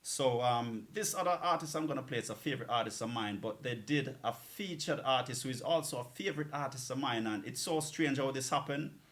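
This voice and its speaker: A man's voice: medium pitch, a little fast, hardly any pauses, fairly bright tone. A man hosting a music show, chatting casually and in a rambling, anecdotal way as he introduces tracks. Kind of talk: casual radio-style talk introducing tracks.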